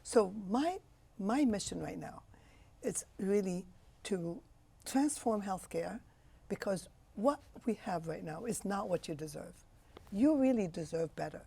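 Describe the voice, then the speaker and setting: A woman's voice: mid-range voice, animated, unhurried, vocal fry, dark tone, slightly rough. A woman in her sixties from Egypt, a physician answering interview questions with passionate, emphatic phrasing. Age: 60s. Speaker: physician guest.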